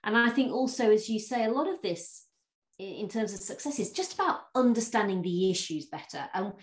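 A woman's voice: medium pitch, animated, a little fast, reverberant. A woman hosting an interview podcast, chatty and talking with lots of fillers and restarts. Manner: chatty, warm laughs, many fillers and restarts. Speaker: podcast host. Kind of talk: interview conversation.

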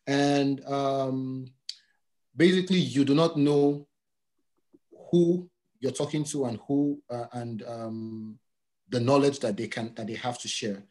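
A man hosting a casual interview, whose first language is Yoruba, talking in a relaxed, friendly way and often repeating himself with fillers. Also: mid-range voice, slow. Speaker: podcast host.

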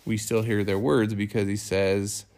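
A middle-aged man with a low, creaky voice talks through scripture in a casual discussion. He thinks aloud haltingly as he explains. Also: little pitch movement, a little mellow. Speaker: family scripture reading host.

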